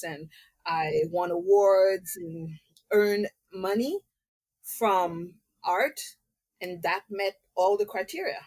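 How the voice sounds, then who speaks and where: Low-voiced woman telling her story. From Haiti. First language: French. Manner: candid, personal storytelling. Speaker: guest artist. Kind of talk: casual interview.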